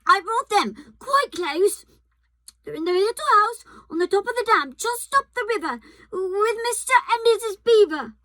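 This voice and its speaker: A woman's voice: very high-pitched, unhurried, fairly bright tone, dry recording, pitched above the performer's own voice, low-quality recording. A woman voicing a frightened young boy who stammers and trembles as he speaks. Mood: pleading. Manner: stammering, breathless.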